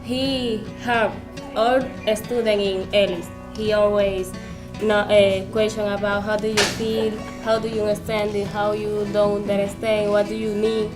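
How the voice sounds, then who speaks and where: A female student speaks in simple, halting English. Her delivery is flat and even, and guitar music plays clearly behind her. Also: mid-range voice.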